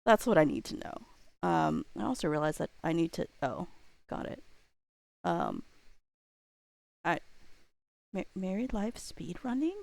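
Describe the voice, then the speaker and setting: A woman with a slightly low voice hosts a casual livestream. She rambles as she thinks aloud, with a playful streak. The sound is dry, with very little room in it. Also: huge pitch swings, vocal fry, darkish tone, no echo. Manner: playful, quick to laugh. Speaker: livestreamer and host reading chat.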